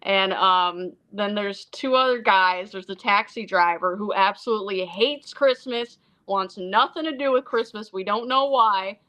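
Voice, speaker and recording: A woman from Iceland with a bright, forceful voice at a middling pitch. She chats casually as a filmmaker guest, rambling from one aside to the next and acting out little quotes in an animated way. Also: measured pace, hardly any pauses. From born in Iceland, Icelandic mother and American father. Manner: animated, rambling storyteller who acts out quotes. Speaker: interview guest, independent filmmaker and actress. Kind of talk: casual chat about own film projects.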